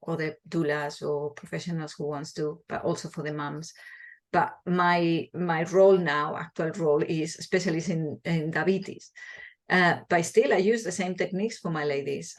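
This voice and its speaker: A woman in her forties from Spain with a Spanish accent, a midwife answering interview questions in a passionate, earnest way, often restarting her words. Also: sits low.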